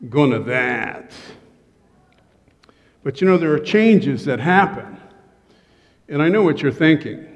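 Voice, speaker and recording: A middle-aged man preaching a humorous sermon to his congregation from a church stage, with joking, self-deprecating asides. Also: medium pitch. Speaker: pastor. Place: church sanctuary. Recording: long reverb of a church hall.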